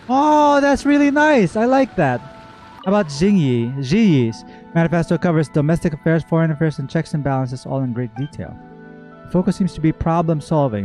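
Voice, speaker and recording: A man streaming casual game reaction commentary, expressive and joking, talking back to the characters. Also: high-pitched. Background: game music.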